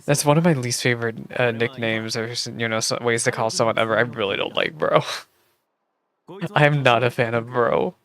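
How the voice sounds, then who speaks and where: A woman livestreaming a game playthrough, chatting casually with viewers in a gushing, expressive way. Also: rumbling low, normal speed. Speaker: streamer reacting to a game playthrough. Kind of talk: casual livestream chat and commentary. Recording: game music behind the voice. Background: game music.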